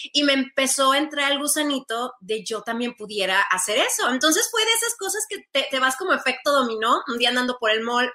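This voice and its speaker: A woman in her thirties, a makeup artist and podcast guest, telling her story in an animated way with many fillers. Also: high-pitched. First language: Spanish. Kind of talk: interview conversation.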